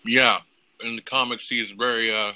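A man's voice: medium pitch, flat delivery, moderate pace, pushed and bright, no room sound. Casual male podcast host, dry close sound. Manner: informal, profane. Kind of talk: casual movie review chat.

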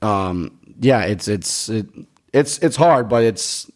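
A man's voice: lowish voice, expressive, slow. A man in his twenties hosting a casual podcast, rambling with lots of restarts and casual swearing. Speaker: podcast host.

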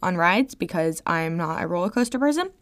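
A young woman hosting a podcast, talking casually about a book with lots of "like" fillers, her voice a little gravelly and worn from screaming. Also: fairly low pitch, a little fast. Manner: chatty, lots of "like" fillers, expressive. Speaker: podcast host reviewing a book. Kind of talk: casual solo podcast talk. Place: home room. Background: faint fridge hum.

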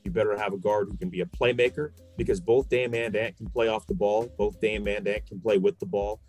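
Low-voiced man arguing basketball emphatically. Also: fairly even intonation, brisk, a little mellow, faint music behind. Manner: emphatic, repeats phrases for stress. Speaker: sports commentator, podcast guest. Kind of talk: opinionated basketball talk.

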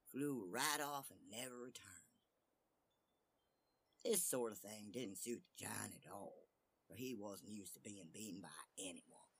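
A man narrating a fairy tale as an expressive storyteller. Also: fairly low pitch, husky.

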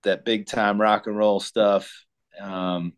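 A singer-songwriter from Colorado, a man, answering interview questions in a laid-back, halting way with many ums. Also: fairly low pitch, little pitch movement, clear, resonant tone. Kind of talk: casual interview chat.

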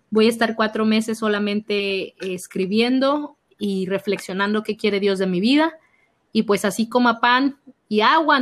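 A young woman with a Mexican accent, a youth minister sharing her faith story in an animated conversational way. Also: medium pitch. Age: young adult. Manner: animated storytelling, acts out her own past reactions. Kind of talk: personal testimony in conversation.

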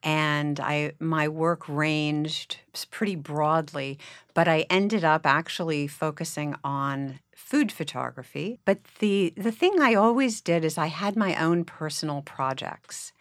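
A middle-aged woman, a photographer and filmmaker, answering interview questions in a steady, measured way. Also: sits low, no room sound, clean studio sound.

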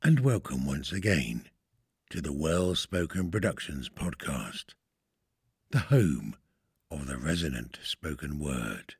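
A man hosting a podcast as a voiceover artist, giving scripted poetic narration in a measured, formal, theatrical manner. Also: a bass voice, expressive, breathy edge, dry recording, studio quality. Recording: solo flute music behind.

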